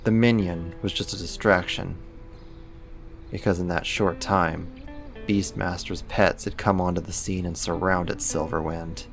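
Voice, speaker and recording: A man's voice: low voice, vocal fry. A man acting a casual, lightly teasing roommate who is secretly a supervillain, in a conversational ASMR roleplay. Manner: casual, laid-back, lightly teasing, slangy.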